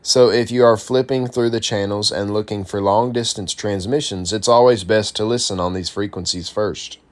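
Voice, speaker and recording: A man's voice: fairly low pitch, steady tone, moderate pace. A man presenting an instructional guide, reading a script aloud in an informal, explanatory way. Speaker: presenter of a CB radio how-to guide.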